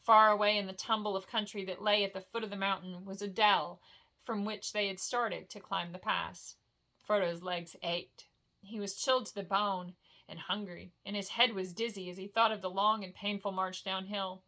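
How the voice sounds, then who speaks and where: Female storyteller: bright voice, expressive fantasy narration, homely and informal, like a bedtime read-aloud. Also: medium pitch, moderate pace. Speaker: storyteller reading a novel aloud.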